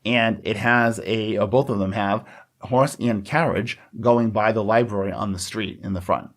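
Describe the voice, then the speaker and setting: A man's voice: on the low side, fairly even intonation, normal speed. A man co-hosting a podcast in casual conversation, explaining things in a chatty, agreeable way. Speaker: podcast co-host. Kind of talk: casual scripted-outline podcast chat.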